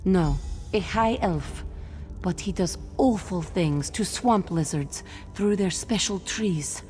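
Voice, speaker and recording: A woman's voice: fairly low pitch, takes it slowly, darkish tone, faint music behind. A woman playing a wretched, bitter cat-folk captive in a fantasy video game, dramatic and scornful, referring to herself in the third person. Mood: scornful, conspiratorial. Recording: long cavernous reverb.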